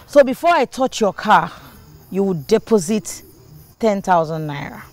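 A woman's voice: mid-range voice, expressive, a little slow, faint music behind. An actress playing a proud, outspoken young woman mechanic, with a Nigerian accent and an assertive manner that slips into Pidgin. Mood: businesslike, firm. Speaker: actor in a film drama.

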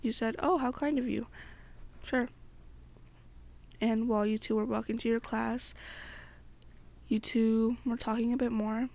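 A young woman narrates second-person fan fiction in an ASMR style. Her voice sits fairly high and has plenty of creaky fry. It is breathy and sometimes falls away almost to a whisper. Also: steady tone, a little slow, pauses often, darkish tone. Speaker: ASMR roleplay storyteller.

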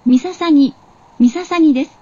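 Very high, mellow woman calling station stops. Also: unhurried. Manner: formal, polite. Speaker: automated train announcer. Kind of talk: scripted station announcement. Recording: dull, muffled announcement recording.

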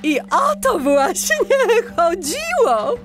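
A woman's voice: very high-pitched, very animated, extremely slow, faint music behind, exaggerated voice. A woman voicing a cheerful, encouraging animal schoolteacher who talks to small children in a sing-song way. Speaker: teacher. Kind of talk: children's audio play acting.

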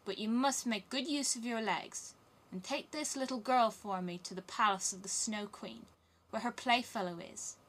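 A woman's voice: fairly high pitch, normal speed, few pauses, fairly bright tone. A woman voicing a wild, teasing little robber girl in a storybook, bold and commanding. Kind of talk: storybook character voice.

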